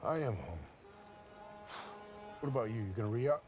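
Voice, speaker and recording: An actor playing a gruff sergeant who talks in a dry, clipped way in a game cutscene. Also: male voice, mid-range voice, lively intonation, moderate pace, over music, lightly acted. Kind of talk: video game cutscene acting.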